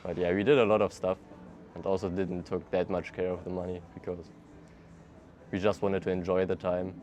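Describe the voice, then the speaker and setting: A man's voice: deep voice, normal speed, highs cut off, faint music behind. A young man with a German accent answering interview questions in English in an easygoing way, laughing often. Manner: relaxed, laughs often, loose non-native phrasing. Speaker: interviewee, traveler. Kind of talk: casual street interview. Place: outdoors in a city.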